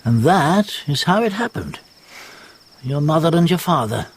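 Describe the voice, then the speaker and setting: An actor playing a wry storyteller recounting family history in a radio drama, measured and amused over music. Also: male voice, fairly high pitch, very animated, slightly rough, heavily acted. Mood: wry, reminiscing. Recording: music bed under narration.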